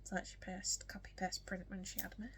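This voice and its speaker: A nineteen-year-old woman with a strong Yorkshire accent, chatting casually to camera in a get-to-know-me vlog, often soft and whispery with lots of ums, hiccuping now and then. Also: medium pitch, flat delivery, brisk, a little hiss or hum. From West Yorkshire, England. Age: young adult. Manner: soft, frequently whispery delivery with many ums. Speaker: vlogger.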